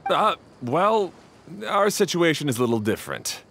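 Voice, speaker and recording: An actor playing a protective, easily flustered young adventurer in a video game, earnest and a little defensive. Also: male voice, on the high side, very animated, moderate pace, a bit bright, a little hiss or hum.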